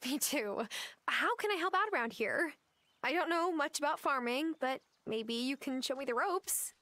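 A woman's voice: sits high, lively intonation, moderate pace, sharp tone, no room sound. An actor playing a friendly, earnest young woman in a cozy video game, polite and eager to help. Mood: eager, helpful. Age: young adult.

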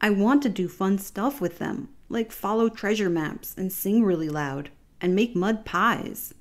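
A woman voicing an excitable, stubborn young boy capybara in a storybook, whiny and emphatic. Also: medium pitch, a little slow, lightly acted. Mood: upset, whiny.

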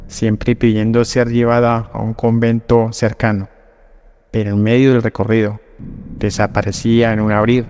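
A man reading a scripted horror legend in first person, slow and solemn, with drawn-out pauses. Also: fairly low pitch, a little hiss or hum. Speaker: narrator. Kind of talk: dramatic scripted horror narration. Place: voice-over over rainy night images. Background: soft background music.

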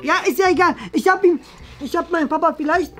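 Very high-voiced nerdy schoolboy. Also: male voice, quick pace, fairly bright tone. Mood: eager, nervous. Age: young adult. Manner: fussy, pleading, hesitant with many hedges. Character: nerdy, eager schoolboy desperate to impress his father. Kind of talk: scripted classroom comedy sketch. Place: small bright classroom, indoors. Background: faint music.

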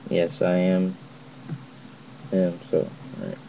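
A young man giving a casual, halting tutorial to camera as an app reviewer. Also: low-pitched, sing-song, creaky, dark tone. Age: young adult. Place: indoors, dim cluttered room at home. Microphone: laptop mic, later phone headset mic. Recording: muffled, noisy webcam-style recording, distant mic.

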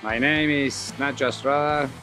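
A man's voice: mid-range voice, steady tone, normal speed. A man from Argentina with an Argentine accent, a polo player giving short, hesitant interview answers. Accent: Argentine Spanish. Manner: soft, hesitant, repeats words. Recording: music bed under voice.

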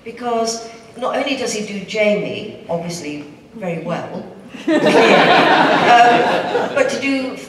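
A woman's voice: a little high, expressive, slow, few pauses, heavy background noise. An older English woman, a panel guest, chatting with frequent laughs at a convention. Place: convention hall, audience present. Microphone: handheld mic, far camera. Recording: echoey hall from far off.

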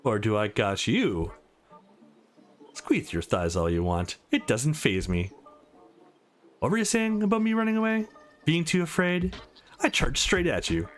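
A man's voice: a little high, lively intonation, a little slow, pauses often, faint music behind. A man voicing a tough, scornful, foul-mouthed young woman fighter in a visual novel. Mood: defiant. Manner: scornful, combative.

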